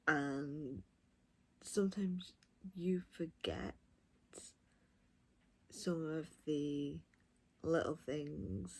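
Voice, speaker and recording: A woman's voice: sits low, gravelly, breathy edge, poor audio. A woman giving a casual, enthusiastic review, talking directly to viewers. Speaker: audiobook reviewer.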